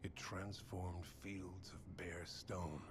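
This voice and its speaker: Slow, deep, world-weary mage. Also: male voice, steady tone, slight background noise. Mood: grave, foreboding. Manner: solemn, deliberate, portentous. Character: grave, world-weary male mage narrating ancient power. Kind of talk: solemn cinematic trailer narration. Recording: game cinematic with loud musical score. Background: cinematic music.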